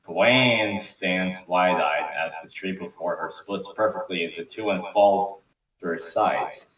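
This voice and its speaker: A man with a deep voice narrates a script in an amateur table-read style. He sounds set back from the mic in an echoey room with faint noise. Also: a little slow, fairly bright tone, distant mic.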